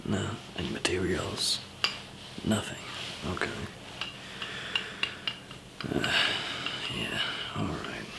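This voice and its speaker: A man playing a calm, easygoing survival trainer in an ASMR zombie apocalypse roleplay, whispering softly and hesitantly with a gravelly, breathy voice. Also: sits low, heavy background noise, full character voice. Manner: hesitant, halting, soft-spoken. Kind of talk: ASMR roleplay, improvised monologue to listener.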